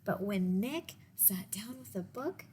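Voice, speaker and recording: A woman reading picture books aloud to children as a librarian, in a deliberate way with pauses and little asides to the listeners. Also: medium pitch, lively intonation, darkish tone, a little hiss or hum. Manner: slow, deliberate phrasing with pauses. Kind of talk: read-aloud storytime with asides to young listeners.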